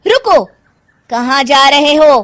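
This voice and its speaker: A woman's voice: sits high, expressive, slow pace, fairly bright tone, dry recording, studio quality, exaggerated voice. Woman doing a bossy princess-minded young wife. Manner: commanding, imperious, uses the royal we. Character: spoiled young wife who thinks she is a princess, bossy and haughty. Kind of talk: Hindi cartoon story voice acting.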